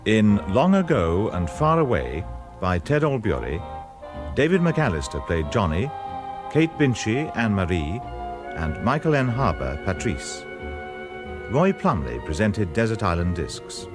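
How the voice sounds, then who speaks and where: Male continuity announcer, formal, fairly low with animated intonation, reading credits. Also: measured pace. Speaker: radio announcer. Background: music.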